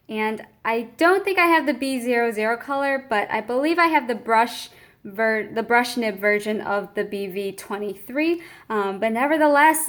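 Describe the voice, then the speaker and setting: A chatty young woman with a fairly high voice walks through an art supply unboxing. She talks casually, adds frequent ums, and reads product details aloud.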